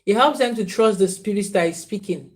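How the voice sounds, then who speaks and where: A woman's voice: mid-range voice, lively intonation, fairly quick. A woman giving spiritual readings on a live stream, repeating names and phrases and earnestly scolding viewers. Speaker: spiritual reader.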